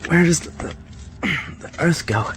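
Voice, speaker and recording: An actor playing an anxious, devoted young prisoner, a son, in a radio drama. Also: male voice, fairly high pitch, unhurried, noisy background, close to an everyday voice. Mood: curious, hushed.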